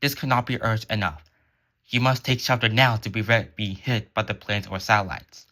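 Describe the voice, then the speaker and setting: A man's voice: lowish voice, steady tone, normal speed, sharp tone. A man reading scripted emergency alert narration in a formal, solemn announcer manner.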